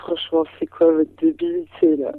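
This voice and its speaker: A woman's voice: low-pitched, very animated, moderate pace, gravelly, no echo. A young woman taking a phone call over a noisy line, answering casually in short replies. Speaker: prank call target. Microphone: telephone.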